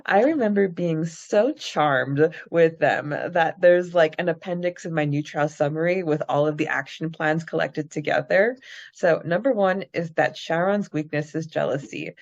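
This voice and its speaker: A woman with a fairly low voice chats casually as a podcast co-host, recapping a story. Her delivery is giggly and informal. The recording is a little dull and lo-fi. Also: gravelly. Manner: giggly, chatty. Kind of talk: casual book-club style recap chat.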